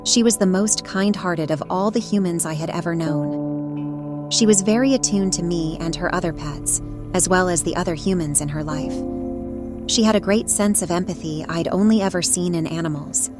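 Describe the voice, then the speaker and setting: A woman voicing a devoted, perceptive pet cat, telling a story in a measured, earnest way. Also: on the low side, natural voice. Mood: loving, concerned. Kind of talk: first-person dramatic story narration. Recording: narration over prominent music.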